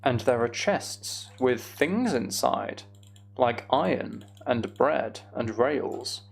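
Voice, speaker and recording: A man's voice: medium pitch, lively intonation, slow, gravelly, slight background noise. A man giving casual, self-deprecating gameplay commentary, musing aloud as he goes. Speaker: gaming video host.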